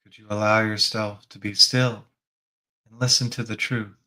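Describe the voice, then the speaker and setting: A man leading a guided spiritual meditation as a teacher, gently prompting the listener with reflective questions. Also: fairly low pitch, poor audio.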